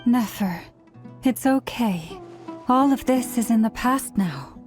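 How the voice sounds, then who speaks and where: A woman playing a gentle, concerned young female companion in a video game. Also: on the high side, expressive, slow, faint music behind. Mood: soothing.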